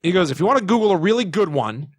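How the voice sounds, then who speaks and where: A man's voice: sits high, expressive, racing, slightly rough, no room sound. Casual male podcast host, very dry sound. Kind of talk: casual chat, retelling and quoting.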